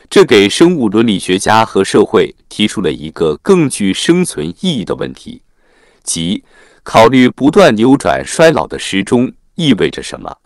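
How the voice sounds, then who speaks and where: A man reads scripted material as a narrator, in a formal and measured way. His voice sits on the low side and moves through a lively range of intonation. The sound is dry, with hardly any room on it. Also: no room sound. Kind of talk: scripted narration, reading an article aloud.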